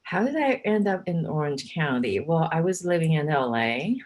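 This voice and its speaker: Casting: low-pitched middle-aged woman from Taiwan, chatty guest, laughs easily. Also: big pitch swings, moderate pace, a little mellow. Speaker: guest, real estate agent. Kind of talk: casual interview chat.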